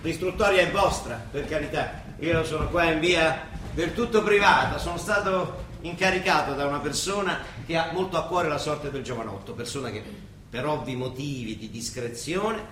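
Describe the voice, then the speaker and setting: An actor playing a weary, wry older papal judge with a Roman accent, grumbling colloquially in a theatrical radio play. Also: male voice, on the high side, moderate pace, a bit bright, close to an everyday voice. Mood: guarded, discreet. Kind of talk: stage-style radio play acting. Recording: echoey stage sound from far off, hiss.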